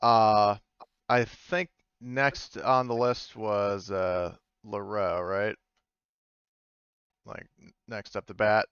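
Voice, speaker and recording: A man's voice: lowish voice, steady tone, slow pace, a bit bright, clear, resonant tone, no echo, dull audio. A man acting as game master, chatting informally and thinking aloud as he runs a tabletop game. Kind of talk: casual tabletop game narration and chat.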